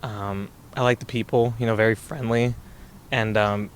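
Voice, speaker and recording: A man's voice: on the low side, fairly even intonation, normal speed, a little hiss or hum. A man answering a campus interview, rambling and restarting often. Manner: rambling, repeating himself. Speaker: student interviewee. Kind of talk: casual street interview.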